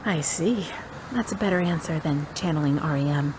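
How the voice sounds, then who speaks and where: Woman acting low-voiced teasing lady, frank, bit of hiss behind. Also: normal speed, lightly acted. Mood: playful. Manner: teasing, direct. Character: playful, frank woman, once divorced. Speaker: radio drama actress. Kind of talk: amateur radio drama.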